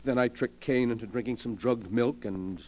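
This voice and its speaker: An actor playing a sly, morbid invalid gentleman who gloats with effusive politeness, in 1940s radio mystery style. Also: medium pitch, normal speed, natural voice. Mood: earnest explaining. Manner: effusively polite, theatrical, relishing his words. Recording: vintage 1940s radio broadcast.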